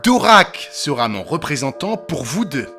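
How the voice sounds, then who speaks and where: Male actor as eloquent, provocative detective, declamatory audio-drama delivery, animated pitch, faint music underneath. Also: measured pace, heavily acted. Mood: reading, measured. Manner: theatrical, declamatory. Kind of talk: French audio drama acting.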